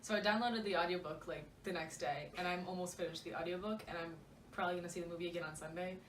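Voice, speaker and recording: A woman's voice: on the low side, fairly even intonation, fast. A young woman co-hosting a casual book vlog, talking in a gushing, slangy and emphatic way with playful asides. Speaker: book vlogger, co-host. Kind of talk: casual chatty book discussion. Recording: roomy, distant mic with faint noise.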